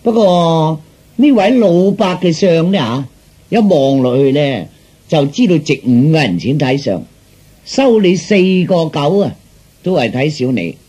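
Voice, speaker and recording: An actor playing a grandiose, flattering street fortune teller who haggles slickly, in declamatory sing-song patter of comic storytelling. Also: male voice, on the high side, unhurried, breathy edge, full character voice. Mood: slick haggling. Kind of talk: theatrical Cantonese comic storytelling.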